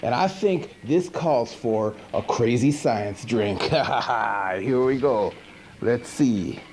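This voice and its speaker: A man's voice: mid-range voice, animated, takes it slowly, darkish tone. A man playing a goofy, chatty, sardonic monster who hosts a horror movie show, recapping films in casual, rambling slang on a noisy 1980s VHS recording. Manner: casual, rambling, mock-indignant slangy delivery. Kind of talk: comic movie recap monologue.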